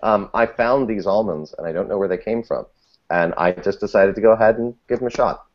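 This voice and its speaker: Very deep-voiced man in casual discussion. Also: fairly quick, muffled recording. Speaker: podcast guest. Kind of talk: casual discussion of fiction writing.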